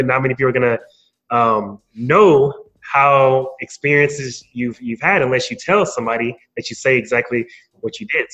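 Male podcast guest, informal and halting, mid-range voice, slightly muffled lo-fi sound. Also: medium pitch, a bit bright. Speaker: podcast guest, marketing speaker. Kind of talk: casual interview conversation.

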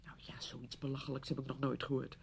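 A woman's voice: very deep voice, monotone, fast, creaky, husky, heavily acted. An actress playing a well-meaning, pushy woman friend in a radio play, insistent and easily defensive, full of practical advice. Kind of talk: Dutch radio play acting.

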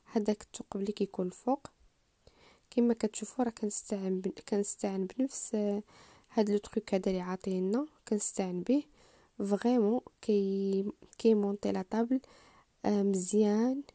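A woman with a Moroccan Arabic accent talks viewers through assembling furniture at a fast clip. Her voice is very dark and mellow, and it is recorded close and dry with no room sound. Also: medium pitch, steady tone, dry recording. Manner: unhurried, explanatory, addresses viewers directly. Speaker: home DIY channel host. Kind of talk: step-by-step assembly tutorial voice-over.

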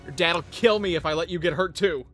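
A man playing a brash, foul-mouthed, cocky little imp in a cartoon comic dub, snarky and excitable. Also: a little high, brisk, faint music behind. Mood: alarmed.

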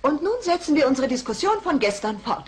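Animated, genteel cartoon schoolteacher. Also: female voice, high voice, a little fast. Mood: polite, bright. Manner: polite, instructive, encouraging. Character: cheerful, patient, genteel female schoolteacher.

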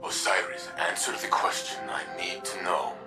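An actor playing a troubled, self-doubting robot warrior in a video game, halting and formal. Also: male voice, sits low, fairly even intonation, music in the background, lightly acted. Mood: pleading. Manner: slow, halting, formal phrasing. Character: troubled, wounded male robot warrior doubting his own worth. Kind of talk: dramatic video game acting.

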